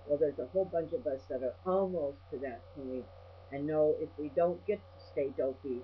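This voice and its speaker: A woman's voice: deep voice, moderate pace. An older woman delivers a rambling, personal monologue as a video blogger. She is blunt and salty, given to ranting. The recording is dull and lo-fi, with a faint electrical hum behind her. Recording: dull lo-fi audio with mains hum.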